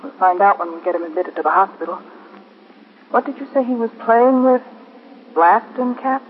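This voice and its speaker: A woman's voice: mid-range voice, steady tone, normal speed, close to an everyday voice. An actress playing a composed woman hospital doctor in a 1950s radio police drama, clipped and matter-of-fact. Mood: grave, matter-of-fact. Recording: muffled, noisy 1950s radio, phone-thin.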